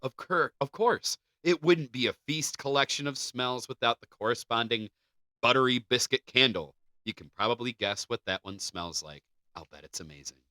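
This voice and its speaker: A man's voice: medium pitch, lively intonation, moderate pace, bright, forceful tone, no echo. A man co-hosting a podcast, reading articles aloud with casual banter. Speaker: podcast co-host.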